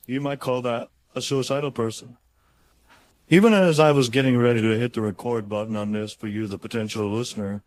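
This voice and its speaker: A man reading his own motivational audiobook chapter in an informal, folksy and emphatic conversational way. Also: mid-range voice.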